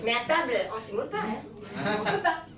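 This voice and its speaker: A woman from Italy doing a stand-up set in French with an Italian accent, animated and teasing the crowd, mixing in Italian words. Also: high-pitched, fast talker. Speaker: stand-up comedian. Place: comedy club. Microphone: handheld stage mic. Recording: roomy, distant, dull club recording with audience.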